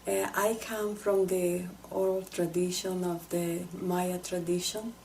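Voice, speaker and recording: A woman speaks slowly in a mellow voice over faint background noise. She gives personal testimony in a halting, earnest, reflective way. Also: fairly low pitch, flat delivery, gravelly. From El Salvador.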